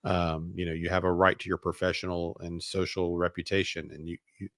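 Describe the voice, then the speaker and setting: A man with a low voice hosts a talk show, reading statements aloud and breaking into casual commentary. His asides come out hesitant, and the sound is dry and close. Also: little pitch movement, fairly quick, dry recording. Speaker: show host.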